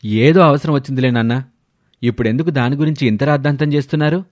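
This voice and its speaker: A man's voice: mid-range voice, fast, no room sound, clean studio sound, lightly acted. A man voicing an earnest, firm grown son and husband in a family storybook tale. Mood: defensive.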